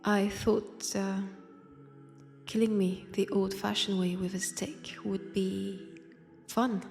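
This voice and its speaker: Slow, French-accented vampire woman played by an actress. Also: medium pitch, flat delivery, vocal fry, a little mellow, soft background music. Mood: tender, nostalgic. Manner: hesitant, sighing, drifting into near-whispers. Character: centuries-old female vampire in a convent, wistful, wry. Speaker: roleplay audio performer. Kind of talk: intimate audio roleplay monologue. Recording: long church-like reverb.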